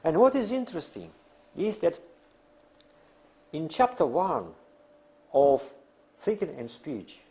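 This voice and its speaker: A man who is a non-native English speaker lecturing on theory in halting, deliberate phrases with emphatic pauses. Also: a little high, big pitch swings, mellow. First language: not English. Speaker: academic lecturer.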